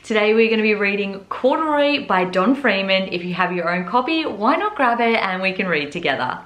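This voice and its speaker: Expressive woman reading a children's storybook. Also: mid-range voice, brisk. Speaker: host reading children's books aloud. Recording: echoey, roomy sound.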